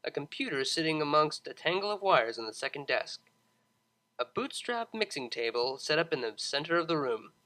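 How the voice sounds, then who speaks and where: A storyteller reads scripted fiction aloud. The voice sits at a middling pitch and is bright and crisp in tone. The delivery is expressive, with plenty of melodic movement. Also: moderate pace. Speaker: fan fiction audiobook narrator.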